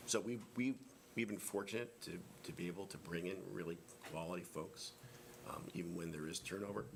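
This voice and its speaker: A man's voice: sits low, fairly quick, gravelly, slight background noise. A man, a school administrator, answering board members' questions at a public meeting, hesitating and restarting often. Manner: hesitant, many restarts and fillers.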